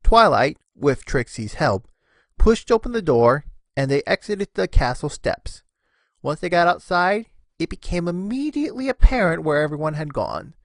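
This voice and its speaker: A man's voice: on the high side, very animated, moderate pace, no echo. A man narrating a scripted story expressively, as the narrator of an audio fan fiction.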